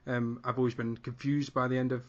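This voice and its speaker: A man's voice: mid-range voice, flat delivery, brisk. Enthusiastic British man listing favorites. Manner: enthusiastic, builds up lists. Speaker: panel regular. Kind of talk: casual opinion chat. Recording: dull lo-fi audio.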